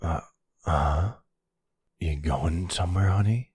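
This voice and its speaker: Male actor as gentle, protective older mobster, extremely low husky voice, intimate roleplay audio. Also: a little slow, no room sound, clean studio sound, heavily acted. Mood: gentle concern. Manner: tender, reassuring. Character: devoted older mafia man, gentle and protective. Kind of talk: boyfriend roleplay ASMR.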